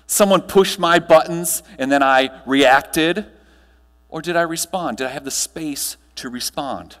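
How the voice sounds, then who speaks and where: An American man in his thirties giving a motivational conference keynote, projected and emphatic, with deliberate pauses and rhetorical questions. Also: medium pitch, a bit bright. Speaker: keynote speaker and trainer. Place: large hotel ballroom stage. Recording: long hall reverb from ballroom PA.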